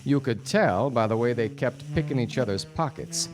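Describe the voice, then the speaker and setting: A man performs a wry, self-important private eye from a 1940s radio play. His male voice sits at a middle pitch and swings expressively through deadpan noir narration. Music plays clearly behind him. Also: normal speed, lightly acted. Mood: dry, confiding. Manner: deadpan, theatrical. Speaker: detective and narrator. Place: theatre stage before studio audience.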